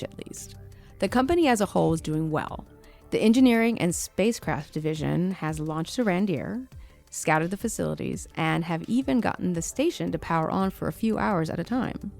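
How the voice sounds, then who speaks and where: Woman acting wry, lowish-voiced confident executive. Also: darkish tone. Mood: proud, teasing. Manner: chatty, wry, dryly teasing. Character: wry, confident 57-year-old female space company executive. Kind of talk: audio drama log entry to a pen pal. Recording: music under the voice.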